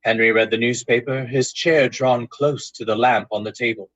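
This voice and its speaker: A man narrating a ghost story in old-time radio style, with deliberate, suspenseful storytelling. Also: medium pitch, steady tone, fairly bright tone, dry recording, lo-fi sound.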